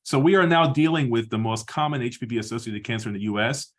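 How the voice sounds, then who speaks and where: Man giving a measured medical lecture. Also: medium pitch, expressive. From Peru. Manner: measured, explanatory, with frequent restarts and "uh" fillers. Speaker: surgeon and lecturer.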